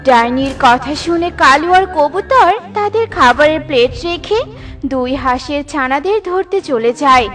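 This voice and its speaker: A woman's voice: high voice, lively intonation, moderate pace, fairly bright tone, soft background music. A woman narrating a scripted children's cartoon story.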